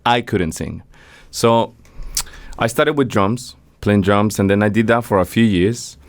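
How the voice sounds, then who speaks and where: A man's voice: lowish voice, unhurried, no room sound. A young man from Mexico, a singer-songwriter, chatting casually as an interview guest and telling stories with frequent laughs. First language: Spanish. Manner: animated storyteller, laughs often.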